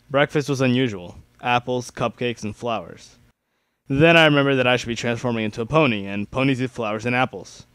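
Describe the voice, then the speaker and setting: A young man narrates fan fiction as an amateur dramatic reader. His pitch is average for a man. The recording is dry, with no room sound. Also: a bit bright, no room sound. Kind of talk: dramatic reading of first-person fan fiction.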